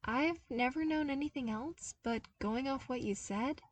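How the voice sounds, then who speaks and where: A woman's voice: sits high, unhurried, husky, recorded from a distance, voice pushed up. A woman voicing a playful, earnest young man, a talkative runner in an audio story. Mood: sympathetic, earnest.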